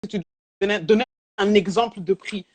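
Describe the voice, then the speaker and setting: A woman from France hosting a casual live interview, asking quick, eager questions. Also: medium pitch, darkish tone, husky. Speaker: interview host.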